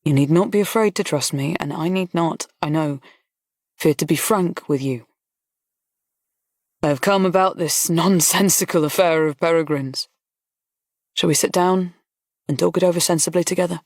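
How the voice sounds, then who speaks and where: A woman reading an audiobook, voicing a kind male colonel who speaks in a pleasant, steady and frank way. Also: lowish voice, normal speed, pauses often, dry recording, studio quality. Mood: reassuring. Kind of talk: audiobook character voice.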